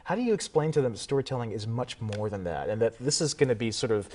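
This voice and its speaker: Brief: male TV host, quick delivery, mid-range voice, reads audience questions. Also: medium pitch, sing-song, darkish tone. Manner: brisk, reads questions and wraps up the show. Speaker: interview host. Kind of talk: broadcast interview hosting.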